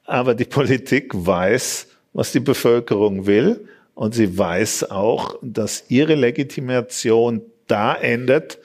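A man's voice: mid-range voice, slow pace, a little mellow. A man explaining his view as an expert guest in a debate, with emphatic, punchy statements. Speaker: economic expert, panel guest.

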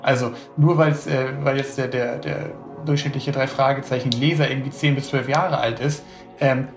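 A man's voice: mid-range voice, steady tone, moderate pace, roomy sound, over music. A man author talking casually and enthusiastically about writing, rambling with many fillers. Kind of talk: casual interview talk.

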